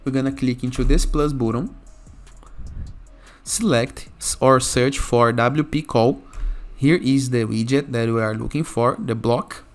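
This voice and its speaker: Lower-voiced male tutorial host over music. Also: a little slow. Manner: instructional, often checking with "okay?". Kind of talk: software tutorial narration.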